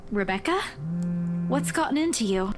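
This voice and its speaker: A man's voice: high-pitched, lively intonation, normal speed. A man voicing an earnest, apologetic young woman in a horror visual novel, hesitant and pleading. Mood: anxious, earnest. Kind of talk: amateur dub of visual novel dialogue.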